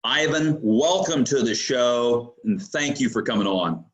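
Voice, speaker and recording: A man's voice: medium pitch, lively intonation, a little slow. A man hosting an interview show, enthusiastic and rambling, with plenty of fillers and restarts. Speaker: podcast host.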